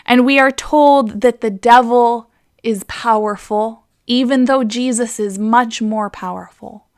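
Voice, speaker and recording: A woman presenting a gentle, explanatory devotional talk for children. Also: fairly high pitch. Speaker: children's story presenter at church.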